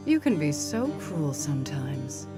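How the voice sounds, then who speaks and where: A woman voicing an inhuman creature posing as a mother in a visual novel, sugary, condescending and menacing, with theatrical, drawn-out endearments. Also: deep voice, big pitch swings, music in the background, pitched below the performer's own voice, exaggerated voice. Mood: mock hurt. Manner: theatrical, drawn-out endearments, mock-hurt sighs.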